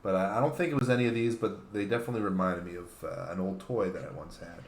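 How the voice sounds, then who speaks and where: A man's voice: sits low, fast talker, slight background noise. A man co-hosting a casual panel chat, musing and a little hesitant in his phrasing. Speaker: talk show co-host. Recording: roomy, echoing sound.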